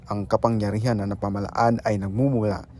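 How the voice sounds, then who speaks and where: Low, mellow Filipino man narrating. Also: level tone, brisk. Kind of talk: scripted explanatory narration. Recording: faint background noise.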